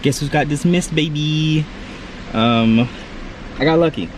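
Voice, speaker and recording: A man's voice: a little high, a little slow, noisy background. A young man vlogging casually to camera, sounding stuffy and congested, rambling through a story with lots of fillers. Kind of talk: casual vlog talk to camera.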